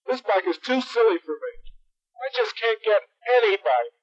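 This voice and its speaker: A man's voice: sits high, a little slow, pitched above the performer's own voice. A man voicing a fussy young boy who whines and turns tearful over a bike. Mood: whiny. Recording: dull lo-fi recording.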